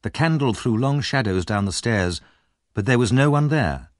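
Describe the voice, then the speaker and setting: A man narrating a scripted story as an audiobook reader, measured and dramatic. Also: medium pitch, lively intonation, dry recording, studio quality.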